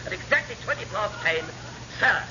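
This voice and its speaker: An actor playing a wily chief petty officer in a vintage radio comedy, spinning dubious tales with glib mock innocence. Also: male voice, sits high, animated, moderate pace. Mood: mock-innocent. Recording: dull, noisy old radio recording.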